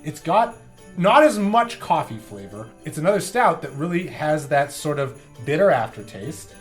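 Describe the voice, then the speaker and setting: Animated man hosting with swooping pitch. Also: moderate pace, faint music behind. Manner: animated, exaggerated reactions. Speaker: beer review show host. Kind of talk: casual comic banter.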